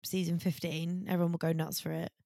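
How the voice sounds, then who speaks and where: A woman's voice: fairly low pitch, level tone, fast talker, gravelly, warm tone, no echo, clean studio sound. A woman co-hosting a podcast, chatting casually about fashion in a blunt, sweary, enthusiastic way. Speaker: podcast co-host. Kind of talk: casual chat, fashion commentary.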